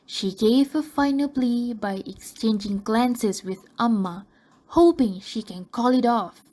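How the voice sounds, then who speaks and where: A woman's voice: fairly high pitch, slow, warm tone. A woman narrating a radio drama in a scripted storytelling style.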